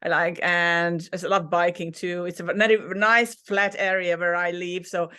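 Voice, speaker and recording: A woman's voice: lowish voice, flat delivery, unhurried, sharp tone, clean tone. A woman from Hungary, a native Hungarian speaker, sharing her story as a podcast guest over a video call, reflective and laughing often. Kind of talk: casual interview. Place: her childhood room.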